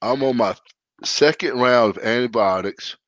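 A stuffed-up, congested man talks in a loose, rambling way, restarting his sentences and poking fun at himself. His voice sits in an ordinary middle pitch. The sound is close and dry, with no room around it. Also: measured pace, fairly bright tone, dry recording, muffled recording. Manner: rambling, self-mocking, frequent restarts. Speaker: co-host. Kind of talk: casual podcast chat.

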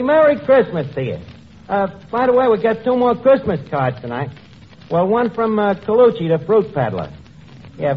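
An actor playing a wisecracking, uneducated tavern manager with a New York accent and slangy, word-mangling patter in a 1940s radio comedy. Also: male voice, high-pitched. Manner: streetwise malapropisms, ungrammatical slangy patter. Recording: muffled old radio, noisy, studio audience.

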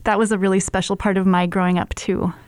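A woman talking casually as a program manager and podcast guest, in a warm, conversational way. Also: mid-range voice, little pitch movement, quick pace, smooth, no room sound. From United States.

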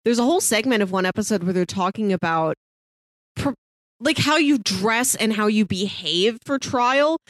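A woman's voice: medium pitch, expressive, moderate pace, no echo. Woman podcast co-host, dry close sound. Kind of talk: casual review chat.

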